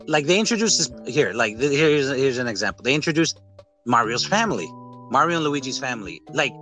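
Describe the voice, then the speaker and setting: Bright-voiced man chatting over guitar music. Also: medium pitch. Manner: rambling, animated retellings with acted-out quotes. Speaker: podcast host. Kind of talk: casual chat reviewing a film.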